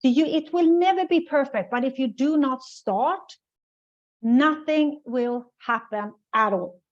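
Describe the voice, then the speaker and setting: A woman leads a business webinar as a coach. She speaks in a slightly high voice and stays emphatic, repeating her key points and checking in with a "right?". The sound is dull and lo-fi, like a webinar recording. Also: measured pace. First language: Swedish. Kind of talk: webinar teaching.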